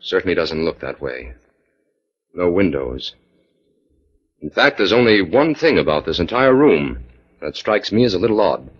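A man acting in 1940s radio style as a calm, authoritative scientist detective, measured and dryly skeptical. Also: low voice, breathy edge, full character voice. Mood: calm, purposeful. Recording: dull vintage 1940s radio recording.

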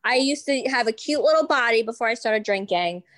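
A woman's voice: on the high side, animated, a little fast. A woman co-hosting a podcast in casual chat, blunt and sweary as she banters. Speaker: podcast co-host.

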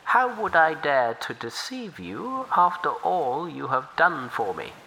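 A man voicing a humble, soft-spoken giant turtle. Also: on the high side, sing-song, measured pace, vocal fry, slight background noise, voice pushed up, full character voice, poor audio. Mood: humble, earnest.

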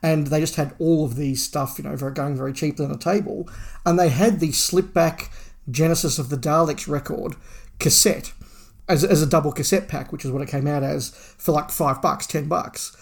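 A man's voice: on the high side, fairly even intonation, moderate pace, vocal fry. An Australian man in his forties, a podcast co-host giving his opinions in a casual, conversational way. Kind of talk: casual chat, discussion.

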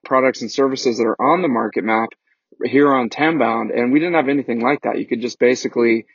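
Man, casual energetic promo read, dry dull lo-fi sound. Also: mid-range voice, fairly quick, husky, no room sound. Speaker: show promoter. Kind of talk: promotional announcement.

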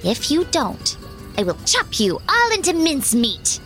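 A woman's voice: high-pitched, huge pitch swings, measured pace, fairly bright tone, soft background music, voice pushed up, full character voice. Woman doing a bold, drawling, swooping wily tomcat. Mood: commanding, threatening. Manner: theatrical, commanding and sly. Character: bold, boastful, wily cat in boots, male. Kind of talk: children's storybook character voice.

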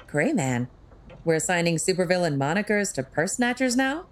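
A woman's voice: on the low side, sing-song. A woman playing a witty, sarcastic crime-fighting tech expert in an audio drama, with a wry manner. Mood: wry, teasing. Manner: wry, sarcastic, quick-witted.

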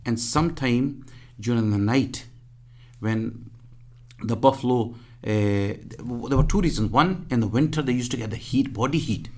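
A man, being interviewed and speaking haltingly with many restarts; English is his third language. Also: mid-range voice, darkish tone. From Pakistan. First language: Punjabi or other regional language; Urdu second, English third. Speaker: guest. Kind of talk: casual interview.

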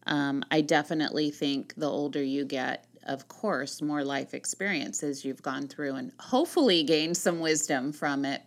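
Woman, 60s, low-pitched, chatty co-host who laughs easily. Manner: chatty, laughs often, warm encouraging talk. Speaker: podcast co-host. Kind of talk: casual chat.

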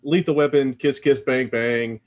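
A man's voice: medium pitch, fairly even intonation, unhurried, darkish tone. A man chatting and joking casually as a podcast panelist.

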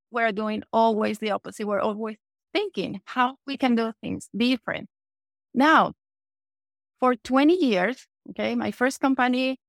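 Venezuelan lady, spanish accent, bit of vocal fry, confident guest on a podcast. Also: fairly high pitch, no echo, clean studio sound. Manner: confident, says "okay" often, self-corrects. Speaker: guest entrepreneur. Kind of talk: podcast interview.

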